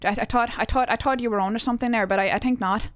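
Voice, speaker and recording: A young woman talks her way through a casual stream lesson, hopping between chat messages and her own points. Her voice sits on the high side and sounds dry and close, with no room around it. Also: fairly even intonation, very fast, no room sound. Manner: chatty, reads chat aloud, jumps between topics, swears. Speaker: streamer teaching accents.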